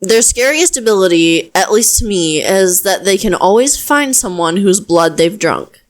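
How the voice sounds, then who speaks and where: A young female wizard, acted by a woman in an intimate audio drama. She is earnest and self-deprecating, with an expressive, conversational voice at a middling pitch. She hesitates and gets flustered as she talks directly to the listener. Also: normal speed, close to an everyday voice. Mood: explaining, reassuring. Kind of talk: scripted audio roleplay addressed to the listener.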